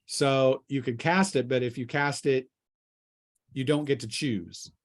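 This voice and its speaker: A man's voice: mid-range voice, fairly even intonation, unhurried. A man acting as game master, casually explaining the rules step by step to his players. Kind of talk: casual tabletop role-playing talk.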